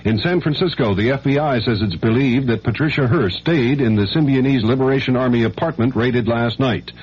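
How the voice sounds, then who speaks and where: A man's voice: medium pitch, brisk, darkish tone, husky. An American man anchoring a scripted hourly radio news bulletin. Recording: dull, faintly noisy 1970s radio broadcast.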